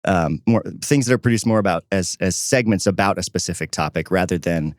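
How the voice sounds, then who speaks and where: A man's voice: deep voice, fairly quick, no room sound, clean studio sound. A man talking casually and conversationally as co-host of a podcast.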